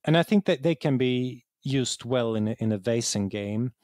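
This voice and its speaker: A man's voice: medium pitch, expressive, normal speed, darkish tone, dry recording, studio quality. A man with a Swedish accent explaining things conversationally as an interview guest, repeating words as he searches for phrasing. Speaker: interview guest, game writer.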